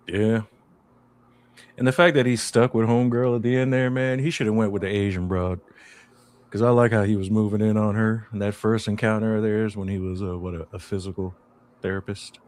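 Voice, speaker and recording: A man co-hosting a podcast, chatting casually about a TV show with slangy, laid-back banter and drawn-out storytelling. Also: on the low side, fairly even intonation, a little mellow. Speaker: podcast co-host. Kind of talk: casual chat.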